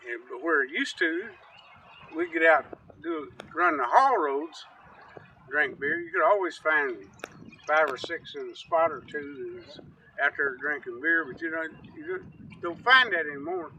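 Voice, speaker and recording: A man's voice: on the high side, measured pace, a little hiss or hum. A man telling rambling, folksy stories in casual chat outdoors on a riverbank, picked up from some distance. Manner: rambling, folksy, animated storyteller. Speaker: storytelling uncle, guest.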